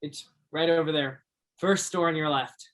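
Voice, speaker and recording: An actor in a stage play playing an easygoing, obliging young roadhouse souvenir seller with a friendly, agreeable manner. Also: male voice, fairly high pitch, normal speed, fairly bright tone, natural voice. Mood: friendly, casual.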